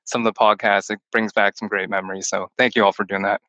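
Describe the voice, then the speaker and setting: A man's voice: lowish voice, level tone, fast, bright, forceful tone, no room sound, highs cut off. A man from Texas, a podcast guest, chatting over a call in a long-winded, rambling way, with Chinese as his first language. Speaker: podcast guest, returned missionary. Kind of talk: casual chat over a call. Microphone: call-in line.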